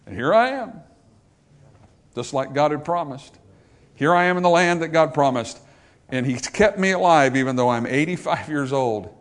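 Man, preacher, sermon, fairly high-pitched, loud peaks and hushed drops. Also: lively intonation, moderate pace, pauses often. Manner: swings from loud emphatic peaks to quiet low asides.

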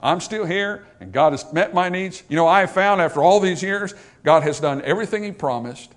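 A man preaching a sermon, swinging from loud emphatic peaks to quiet low asides. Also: brisk.

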